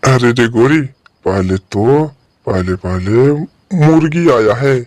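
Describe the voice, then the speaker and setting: A man's voice: mid-range voice, lively intonation, slow, darkish tone, no room sound. A man voicing a dim-witted, puzzled male crow in a cartoon story, asking repetitive, pestering questions. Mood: insistent. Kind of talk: Hindi animated bird story dialogue.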